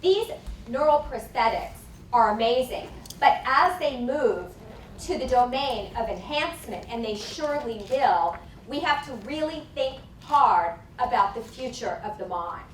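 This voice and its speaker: A woman's voice: on the high side, animated. Woman lecturing in an echoey hall. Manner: emphatic, deliberate lecturing. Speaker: conference speaker. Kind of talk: academic lecture. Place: conference hall. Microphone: lapel mic. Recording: echoey hall from far off, faint noise.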